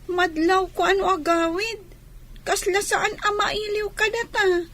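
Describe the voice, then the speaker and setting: A woman's voice: extremely high pitch, fairly even intonation, measured pace, fairly bright tone. A woman voicing a young child, anxious and devoted, with an Ilocano Filipino accent, in a radio drama. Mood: questioning. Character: young child anxious about absent father, devoted to mother.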